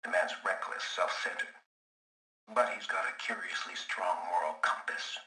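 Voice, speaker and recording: Very deep, sharp scheming hacker. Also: male voice, fairly even intonation, reverberant, poor audio. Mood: brooding, calculating. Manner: calculating, brooding, deliberate. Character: scheming middle-aged male hacker plotting in private. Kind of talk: dramatic video game audio log monologue.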